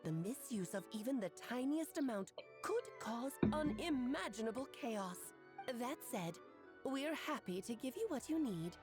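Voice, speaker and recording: A woman's voice: fairly high pitch, sing-song, measured pace. A woman voicing a playful, theatrical aunt who is a powerful mage in a video game, teasing and affectionate. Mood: charmed, composed. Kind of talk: scripted game character acting. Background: game music.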